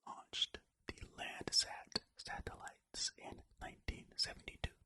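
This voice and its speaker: This is a whispered ASMR narration from a channel host. The voice is unvoiced and very bright, with crisp, hissing edges. The host reads out facts, then drifts into rambling, self-deprecating asides, all kept in the same whisper. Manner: whispering throughout.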